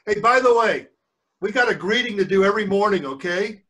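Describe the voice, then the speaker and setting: A man's voice: high-pitched, animated, brisk, pushed and bright, poor audio. An older man reading a story aloud to children, stopping often to explain words and check they are following. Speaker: grandfather reading a book to children. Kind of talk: read-aloud storytelling with chatty asides to children. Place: video call with screen sharing.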